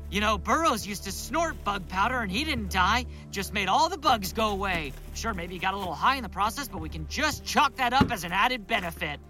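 A man's voice: sits high, expressive, brisk, hardly any pauses, over music. An actor playing a paranoid, sardonic man unravelling as he records a log of his psychedelic self-experiment in an audio drama. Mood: paranoid, taunting. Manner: agitated, muttering, sardonic. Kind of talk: audio drama monologue, spoken case-study log.

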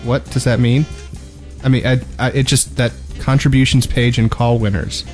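Male podcast host, average pitch, dryly humorous chat, light music behind. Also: flat delivery, normal speed, darkish tone. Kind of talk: casual gaming podcast chat.

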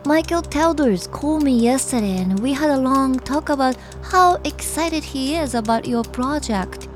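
A puzzled fiancée who has been woken up. Her voice is high, and she speaks in a natural, questioning way, as in an audio drama. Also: animated, moderate pace, soft background music. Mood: puzzled, insistent. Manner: confused, questioning. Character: bewildered, concerned fiancée woken from sleep.

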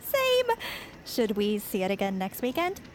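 A woman's voice: mid-range voice, wildly swooping pitch, a little slow, slight background noise. An actress playing a joking, casual teenage girl, a teasing friend, in naturalistic audio drama. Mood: playful.

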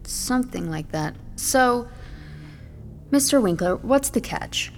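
A woman's voice: fairly high pitch, lively intonation, slow, soft background music. An actress playing a skeptical, dry-witted young woman in an audio drama, blunt and questioning. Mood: dryly sardonic. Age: young adult. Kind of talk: modern audio drama acting.

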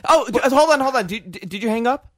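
A man's voice: extremely high pitch, lively intonation, a little fast, dry recording, studio quality. A man co-hosting a talk show, bantering casually in a teasing, joking way.

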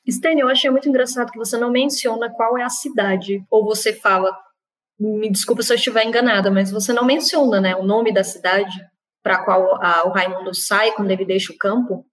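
A woman's voice: mid-range voice, fairly even intonation, a little fast. A woman from Goiás, a novelist guest in an interview, speaking earnestly and emphatically. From Goiás, Brazil. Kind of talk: casual panel interview.

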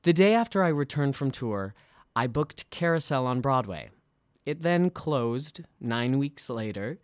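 A man with a mid-pitched voice reads a personal essay in a very dry, close recording. His delivery is polished and deliberate, like a stage actor narrating his own writing. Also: expressive, dry recording. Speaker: musical theatre actor. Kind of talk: scripted personal essay read aloud.

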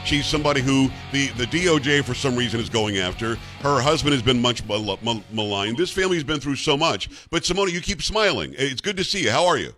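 A man's voice: medium pitch, lively intonation, a little fast, few pauses, fairly bright tone, faint music behind. A man hosting a talk show, interviewing a guest in a friendly, conversational way with some stumbling repeats. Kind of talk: casual interview.

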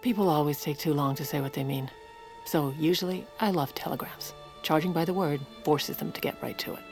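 First lady: blunt, urgent, resolute, slightly low register, audio drama narration over music. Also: brisk. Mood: wry, dry. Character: sharp, brisk, resolute president's wife narrating her story.

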